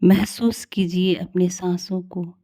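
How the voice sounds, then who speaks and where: A woman leading a guided meditation in a calm, soothing, unhurried way with long pauses. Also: lowish voice, dry recording, clean studio sound.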